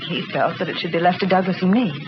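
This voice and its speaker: A woman's voice: lowish voice, fast, close to an everyday voice. An actress playing an earnest, anxious young married woman in 1940s radio drama style. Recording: muffled, noisy old radio recording.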